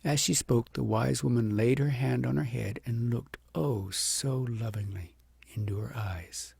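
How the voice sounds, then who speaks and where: Mellow, gravelly male storybook narrator. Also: mid-range voice, unhurried, no echo. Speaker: audiobook narrator.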